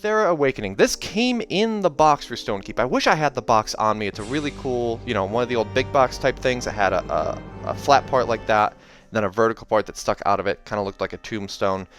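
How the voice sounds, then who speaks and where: A man's voice: medium pitch, fairly quick, fairly bright tone. A man hosting in a casual, chatty, rambling way that often corrects itself, as he talks through and reads aloud a book. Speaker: host of a reading series. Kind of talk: casual video commentary and read-aloud. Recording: music behind the voice. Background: soundtrack music.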